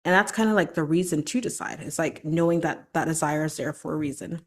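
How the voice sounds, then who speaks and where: A woman's voice: sits low, brisk. A woman hosting her own podcast as a vocal coach, talking alone in a chatty, encouraging way that is full of "like" and "right?". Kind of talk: solo podcast monologue.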